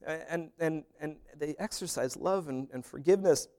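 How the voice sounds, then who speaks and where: A man preaching a sermon as pastor, animated, with humorous asides and little acted-out dialogues. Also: on the high side, moderate pace.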